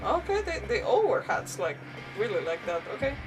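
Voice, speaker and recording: A woman's voice: on the high side, wildly swooping pitch, moderate pace, distant mic. A woman chatting casually and playfully as she livestreams a game. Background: faint game music.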